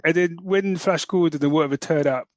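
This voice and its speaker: A man's voice: on the high side, fairly quick, no room sound. A man co-hosting a podcast, chatting casually about geek news in a jokey, slangy, conversational way. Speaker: podcast co-host.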